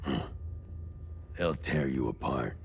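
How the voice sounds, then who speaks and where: A man's voice: extremely low pitch, animated, creaky, a little hiss or hum, full character voice. An actor playing a weary, bitter, devout middle-aged man in a war-torn country, in grim video game dialogue. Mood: fearful, grim. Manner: grim, world-weary, deliberate.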